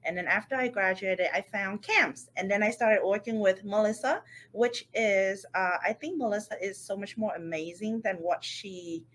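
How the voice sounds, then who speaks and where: A woman's voice: medium pitch, normal speed, few pauses, a bit bright. A young woman from Vietnam, chatting as a podcast guest in a loose way with many fillers. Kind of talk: casual interview talk.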